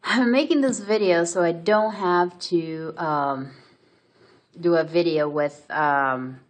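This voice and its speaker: A woman's voice: fairly low pitch, takes it slowly. A woman from Brazil with a Brazilian Portuguese accent, telling her own story to camera in a hesitant way, full of fillers and restarts. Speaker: vlogger. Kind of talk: casual personal story to camera.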